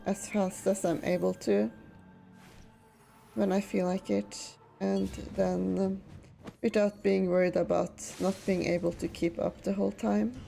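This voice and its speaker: Norwegian woman just chatting, rambly, lots of ums, gravelly and kinda dark. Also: medium pitch, level tone, unhurried, soft background music. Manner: rambling with many fillers. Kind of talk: casual chat.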